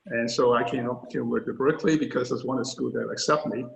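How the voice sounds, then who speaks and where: A man's voice: medium pitch, fairly even intonation, fast, vocal fry. An older man tells his life story as an interview guest, candid and self-deprecating, with many fillers. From Hong Kong. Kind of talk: storytelling in a panel conversation. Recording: roomy, dull lo-fi sound.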